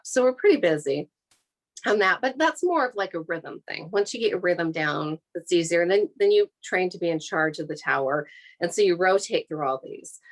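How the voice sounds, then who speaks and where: A woman's voice: fairly low pitch, poor audio. A woman with an American accent giving an informal, explanatory career talk as an air traffic controller, in a practical, plain-spoken, conversational way.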